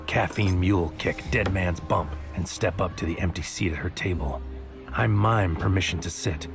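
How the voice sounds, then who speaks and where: Low-voiced, brooding troubled man. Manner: terse, broody, poetic fragments. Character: anxious, brooding man, troubled agent narrating his own story. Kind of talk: first-person noir audio drama narration.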